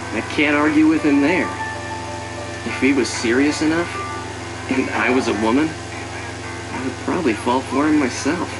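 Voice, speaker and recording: Man as a loyal soldier, mid-pitched, plain-spoken, assured, game cutscene narration, loud music. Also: normal speed, long pauses, natural voice, poor audio. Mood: confident, fond. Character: loyal, confident male soldier proud of his crew.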